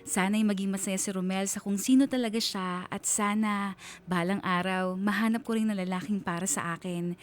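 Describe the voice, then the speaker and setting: A woman's voice: medium pitch, darkish tone, a little hiss or hum, natural voice. An actress playing a lovelorn young letter sender, narrating her heartbreak in an earnest, sentimental radio drama style. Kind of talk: scripted first-person radio drama narration.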